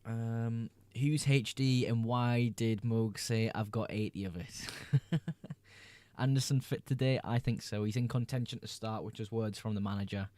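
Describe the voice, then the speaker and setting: A man's voice: mid-range voice, fairly even intonation, moderate pace, a little mellow, no room sound. A young man hosting a casual livestream, reading viewers' chat messages aloud and answering them in a laid-back way. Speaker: livestream host.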